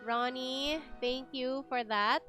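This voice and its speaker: A woman's voice: high-pitched, fairly even intonation, takes it slowly, pushed and bright, faint music behind. A woman with a Filipino accent giving casual, chatty livestream game commentary, explaining things as she goes. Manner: chatty, explanatory, switches between two languages. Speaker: game streamer.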